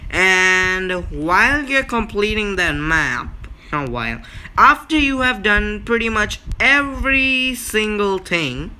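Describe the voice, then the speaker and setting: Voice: medium pitch, animated, takes it slowly, bright, forceful tone, clear, resonant tone, low-quality recording. A gaming video host giving a casual walkthrough, explaining emphatically with repeats and frequent check-ins. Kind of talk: casual gameplay walkthrough commentary.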